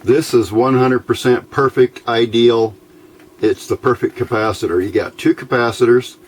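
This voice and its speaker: A man giving an informal hands-on electronics tutorial, talking haltingly as he thinks aloud. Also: medium pitch, steady tone, slight background noise. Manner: halting, thinking aloud while handling parts. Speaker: electronics hobbyist presenter.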